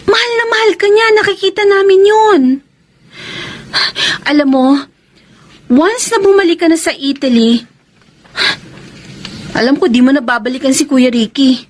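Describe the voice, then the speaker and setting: An actress with a Filipino accent playing an earnest, frank younger sister in a radio romance drama. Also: female voice, very high-pitched, normal speed, pauses often. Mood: reproachful, pleading. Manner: probing, earnest, reproachful.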